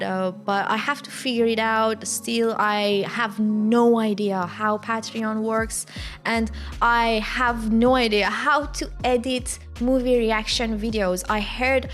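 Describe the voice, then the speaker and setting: Persian-accented woman, chatty and fairly high. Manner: chatty and hesitant, with frequent fillers and restarts. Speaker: gaming YouTuber answering viewer questions. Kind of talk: casual Q&A to camera.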